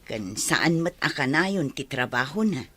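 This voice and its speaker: A woman's voice: sits low, expressive, measured pace, a bit bright, slightly rough, exaggerated voice. An actress with a Filipino accent playing an opinionated, gossipy village woman in a radio drama.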